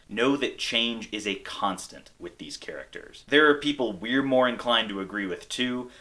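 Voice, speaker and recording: A man's voice: lowish voice, sharp tone. An American man hosting a video essay, reading scripted narration. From United States.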